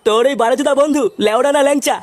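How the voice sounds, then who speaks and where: An actor voicing an angry, bullying village father in a comic cartoon, abusive and threatening, with an artificially pitched-up voice. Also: rapid-fire. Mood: scolding rage. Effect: pitch-shifted up. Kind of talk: exaggerated cartoon dialogue.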